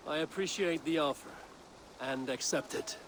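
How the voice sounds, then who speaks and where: An actor playing a stern, commanding Norse clan leader in a video game, speaking with blunt control. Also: male voice, a little high, normal speed, noisy background, natural voice. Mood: calm authority.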